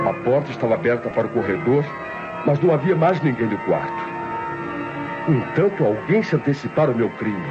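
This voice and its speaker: Brazilian male actor as tense would-be killer narrating a radio thriller, formal and suspenseful, dull old recording, low pitch. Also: lightly acted. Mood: alarmed, horrified. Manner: deliberate, suspenseful, formal storytelling. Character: nephew confessing his planned murder, tense and brooding. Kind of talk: 1960s radio mystery drama narration.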